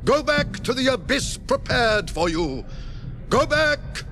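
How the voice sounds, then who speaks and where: Old wizard: British, theatrical and commanding, widely swinging pitch, grave authority for radio fantasy. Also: male voice, very animated, slow, sharp tone, a little hiss or hum, heavily acted. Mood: defiant, commanding. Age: older. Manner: declamatory, solemn, theatrical. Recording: 1980s radio drama with music behind.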